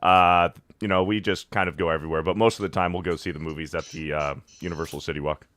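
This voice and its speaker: A man with a very deep voice, a podcast guest chatting casually and relaxed, often restarting his sentences. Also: fast talker. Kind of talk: casual chat.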